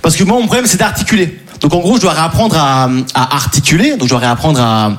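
Jeune humoriste hésitant, voix aiguë. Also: male voice, fairly high pitch, expressive, soft background music. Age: 20s. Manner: halting, self-mocking, with many fillers. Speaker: stand-up comedian. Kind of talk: stand-up comedy set. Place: comedy club stage before a live audience.

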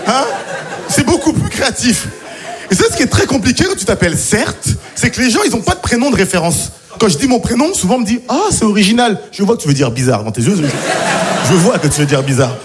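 A man's voice: sits high, very animated, fast, soft background music. A man in his thirties from the Paris suburbs doing conversational stand-up storytelling. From France, Paris suburbs. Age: 30s. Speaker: stand-up comedian. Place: comedy stage in front of audience. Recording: live show with audience laughter.

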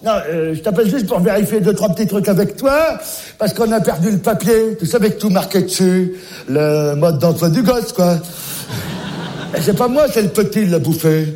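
A man's voice: high-pitched, expressive, normal speed, dark tone. A man playing a scatterbrained grandfather on a comic phone call, rambling, forgetful and repeating himself. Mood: bumbling. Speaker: comic sketch character. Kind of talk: comic one-sided phone call sketch.

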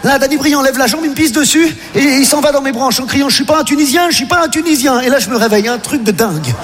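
A man doing absurd stand-up before a live audience, talking in halting bursts full of fillers. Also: extremely high pitch, animated, very noisy. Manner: hesitant, halting with many fillers. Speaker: stand-up comedian. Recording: live hall recording aired on radio.

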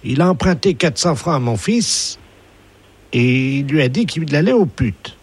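A man's voice: on the high side, lively intonation, measured pace, background noise. A man voicing a prim, naive older woman, an academician's wife, making a prank phone call. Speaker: prank caller.

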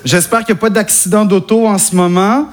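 A man's voice: high voice, fairly even intonation, fairly quick. A young Québécois man doing a self-mocking stand-up comedy set on stage, chatty and full of hesitations. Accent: Québécois French. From Quebec, Canada. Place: stage before a live audience. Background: audience laughter.

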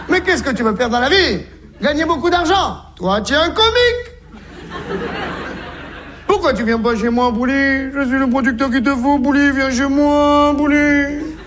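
A man's voice: very high-pitched, fairly bright tone. A stand-up comedian playing a sentimental older music-hall producer who drags out his words in a sing-song, heard on a live stage recording with faint noise. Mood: wheedling. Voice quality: gravelly, sing-song, drawn-out repetitions. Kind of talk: stand-up sketch before a live audience.

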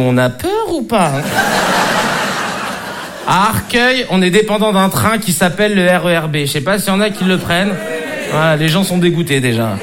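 A young man doing an animated, mocking stand-up routine. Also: sits high. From France. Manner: animated, mocking, does voices. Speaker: stand-up comedian. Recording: live club with audience noise. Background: crowd laughter.

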